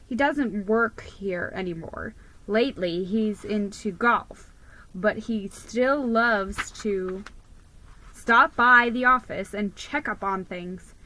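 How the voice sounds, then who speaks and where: A woman giving an expressive, deliberate dramatic reading of a children's story, narrating in the first person as a mouse storyteller. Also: medium pitch, rough recording.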